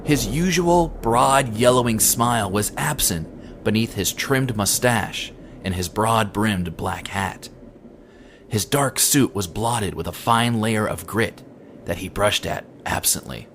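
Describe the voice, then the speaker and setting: A man reading a scripted horror story as an amateur narrator, in a measured, somber storytelling manner. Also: on the low side, fairly bright tone. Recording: clearly noisy home recording.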